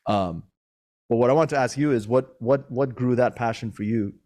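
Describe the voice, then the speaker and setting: A man's voice: medium pitch, normal speed, dark tone. A man hosting a podcast interview, born in Iran and raised in Maryland, talking casually with lots of fillers and restarts. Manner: rambling, with many fillers and restarts. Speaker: podcast host. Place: Sacramento, California.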